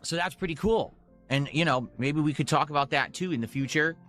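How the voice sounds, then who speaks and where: A man's voice: a little high, brisk, fairly bright tone, faint music behind. A man chatting casually to his viewers on a gaming livestream in a rambling, audience-focused way.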